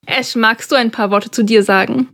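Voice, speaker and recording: Young woman co-host, slightly high voice. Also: fairly even intonation, moderate pace, fairly bright tone. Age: young adult. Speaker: podcast co-host. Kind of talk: casual podcast conversation.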